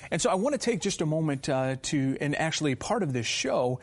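A man hosting an interview show, asking questions in a warm, affirming way. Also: a little high, sing-song, a little fast. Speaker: host. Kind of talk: interview conversation.

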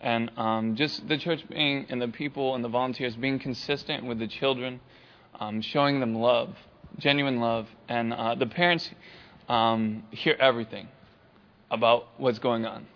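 A man speaking on a church panel in a halting, searching and heartfelt way. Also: mid-range voice, dull audio. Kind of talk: panel discussion remarks.

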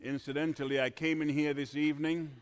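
Male preacher from Trinidad, high lively voice, dramatic shouts and hushed asides, church reverb. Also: fairly quick, clear, resonant tone. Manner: dramatic, swings from quiet asides to shouted emphasis. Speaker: pastor. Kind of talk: sermon.

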